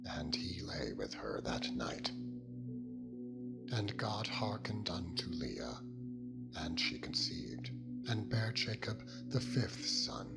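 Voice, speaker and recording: Deep-ish guy solemnly reading the bible, really slow, loud music with ringing bowl tones behind him. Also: sing-song, gravelly, in a whisper. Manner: solemn, measured storytelling. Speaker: Bible narrator. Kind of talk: dramatized scripture reading, King James English.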